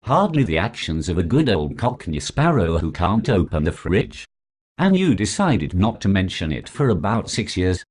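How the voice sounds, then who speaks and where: A scornful prosecutor, played by a man, who cross-examines with biting sarcasm. His voice is very deep, yet it swoops up and down with lively scorn. He treats every answer with open contempt. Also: normal speed, breathy edge, heavily acted. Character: sneering foul-mouthed prosecuting lawyer. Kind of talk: comedy sketch acting.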